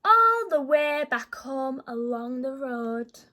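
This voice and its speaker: A woman reading a children's picture book aloud in an expressive, teacherly way. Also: sits high, slow pace, a little hiss or hum. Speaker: children's story reader.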